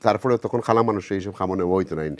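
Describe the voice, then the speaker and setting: A man hosting an interview, firing brisk questions and mixing two languages. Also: low voice, fairly even intonation, a little mellow, dry recording. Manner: brisk questioning, mixes Bengali and English. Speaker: host.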